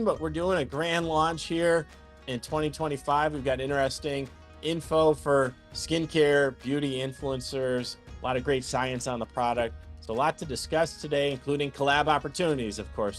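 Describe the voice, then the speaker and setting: A man's voice: fairly high pitch, normal speed, faint music behind. Upbeat male podcast host. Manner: upbeat, chatty, with fillers. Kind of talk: podcast interview.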